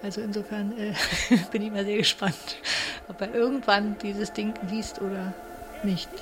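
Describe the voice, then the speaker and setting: A woman's voice: medium pitch, flat delivery, normal speed, over music. A woman lecturer speaking reflectively and spontaneously, as in an interview.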